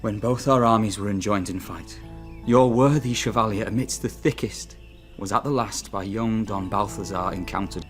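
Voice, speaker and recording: Loyal young soldier, played by a man, low voice, solemn measured verse, radio tragedy. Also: faint music behind, natural voice. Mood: solemn, mournful. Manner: measured, formal storytelling. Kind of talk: classical radio drama verse acting.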